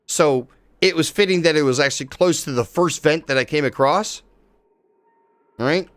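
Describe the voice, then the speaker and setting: A man's voice: a little high, normal speed. A man live-streaming a game, chatting casually with viewers and swearing freely as he plays. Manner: excitable, swears casually, talks to chat.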